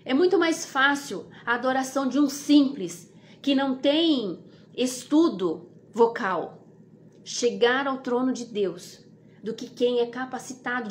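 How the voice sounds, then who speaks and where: High-pitched Brazilian woman preaching informally. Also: a little hiss or hum. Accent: Brazilian Portuguese. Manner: earnest, exhorting, repeats phrases for emphasis. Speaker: devotional host and preacher. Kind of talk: informal devotional sermon.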